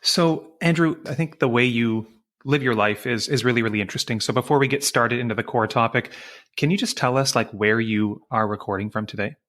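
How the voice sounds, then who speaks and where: A man's voice: medium pitch, fairly quick, a bit bright. Enthusiastic male podcast host. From Canada. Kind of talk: interview podcast.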